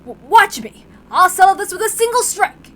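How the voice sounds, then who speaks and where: Woman playing a desperate, defiant, high-voiced brave man. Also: sing-song, moderate pace, sharp tone, heavily acted. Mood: determined. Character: desperate, brave adult man Kraus, defiant gambler and ex-boxer.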